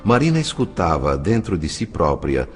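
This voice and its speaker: An actor playing a compassionate spirit chronicler in a dramatized audiobook, narrating in an expressive, measured way. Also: male voice, sits low, faint music behind. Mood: reflective. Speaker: narrator and character.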